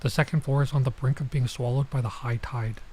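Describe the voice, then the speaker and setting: A man's voice: medium pitch, fairly even intonation, a little fast, a little mellow. Casual man streaming over noticeable background noise. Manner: casual, reacts with asides and stumbles over lines. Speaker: streamer playing and reading a visual novel. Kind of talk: let's play, reading game text aloud with casual commentary.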